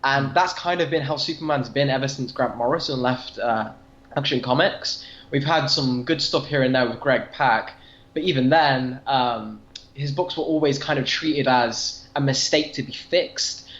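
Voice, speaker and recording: A man's voice: medium pitch, normal speed, a bit bright, roomy sound, dull audio. A British man speaking as a podcast guest in casual, opinionated discussion. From Britain. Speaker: podcast guest and comic book fan.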